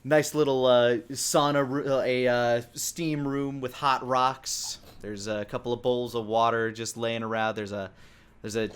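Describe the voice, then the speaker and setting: A man narrating a tabletop game as dungeon master, describing scenes in a conversational, halting way. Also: medium pitch, steady tone, smooth.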